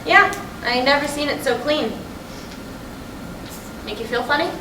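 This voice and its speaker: A woman's voice: high-pitched, a little slow, sharp tone. A woman reading the part of a restless, sardonic wife in a staged play, in blunt, conversational dialogue. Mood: breezy, wry. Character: restless, sardonic wife, easily upset, nostalgic. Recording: distant mic in echoey, noisy room.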